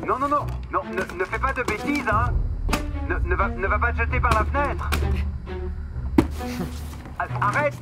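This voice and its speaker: An actor playing a smug, condescending corporate boss on the phone in a satirical radio drama. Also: male voice, sits high, lively intonation, normal speed, pauses often, over loud music. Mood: panicked. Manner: patronizing, self-satisfied, hesitating with filler words.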